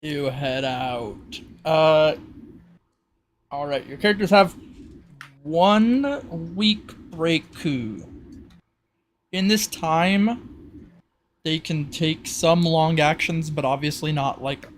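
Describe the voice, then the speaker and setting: A man's voice: on the high side, slow pace, long pauses. A man running a tabletop roleplay session as game master, talking casually and explaining rules off the cuff, with some noise in the recording.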